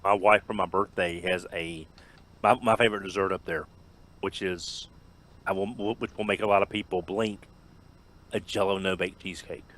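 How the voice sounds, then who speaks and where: Man in his fifties, lowish voice, bright tone, casual podcast chat, jokey. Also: fairly even intonation, moderate pace. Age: 50s. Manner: jokey, repeats words when starting.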